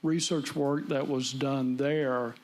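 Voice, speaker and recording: A man from the audience asks a polite, deliberate question at a meeting. His pitch is middling and stays flat and even throughout. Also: slight background noise. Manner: polite, deliberate, hesitant with fillers. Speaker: audience member asking questions. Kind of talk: public meeting Q&A.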